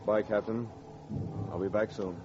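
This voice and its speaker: Low-voiced brisk rescue pilot played by a man. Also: steady tone, close to an everyday voice. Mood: brisk, urgent. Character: brisk, dependable Coast Guard lieutenant, a rescue pilot. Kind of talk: 1940s radio acting. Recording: dull, noisy old radio recording.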